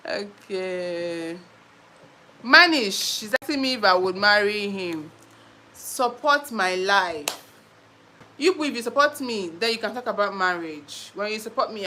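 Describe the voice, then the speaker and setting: A woman's voice: medium pitch, bright, forceful tone, slight background noise. A young Nigerian woman with a Nigerian accent hosts a casual live stream, chatting playfully, reading viewer comments and laughing often.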